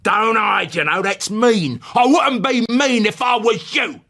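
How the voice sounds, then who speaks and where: Bawling, jeering Cockney creditor, high-pitched. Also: male voice, a little slow, exaggerated voice. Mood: jeering anger. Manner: loud, taunting, bawling. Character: angry jeering Cockney creditor shouting for payment.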